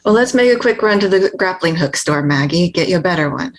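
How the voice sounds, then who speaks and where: A practical woman on the run, played by an actress at a table read, with a slightly low voice. She is wary and keeps coaching her partner through each step, in everyday dramatic dialogue. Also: lively intonation, brisk, rough recording. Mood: defensive, wry.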